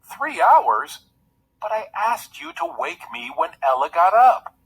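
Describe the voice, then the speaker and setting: A man's voice: high-pitched, sing-song, slow, vocal fry, fairly bright tone, a little hiss or hum, voice pushed up, full character voice, low-quality recording. A man voicing a fussy, pampered young storybook prince with an exclamatory manner. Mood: dismayed.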